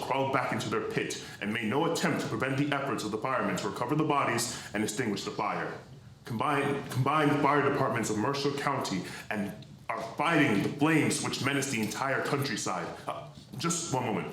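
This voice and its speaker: A man's voice: medium pitch, a bit bright, close to an everyday voice. A man playing a solemn, formal 1930s radio announcer delivering bulletins in a radio play. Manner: solemn, declamatory. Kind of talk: radio news bulletin acting. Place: live stage performance in a hall. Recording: very echoey hall, faint noise.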